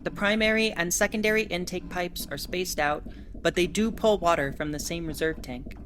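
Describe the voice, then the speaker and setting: A woman's voice: fairly low pitch, moderate pace, fairly bright tone, slight background noise, close to an everyday voice. A woman voicing a winged, four-armed female alien starship captain who speaks in an earnest, explanatory way. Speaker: ship captain. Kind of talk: audio drama narration.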